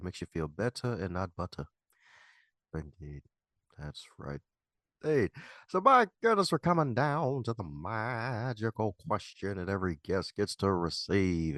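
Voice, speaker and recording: A man's voice: sits low, fairly bright tone, no echo, studio quality. A man hosting a casual interview podcast, jokey and folksy, peppering his talk with stock affirmations and slang. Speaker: podcast host. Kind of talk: casual interview chat with playful asides.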